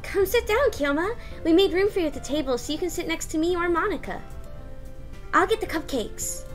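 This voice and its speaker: A woman putting on a bubbly, high-pitched schoolgirl. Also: animated, brisk, soft background music. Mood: cheerful. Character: bubbly, excitable schoolgirl, friendly and childlike. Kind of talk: visual novel read-aloud character voice.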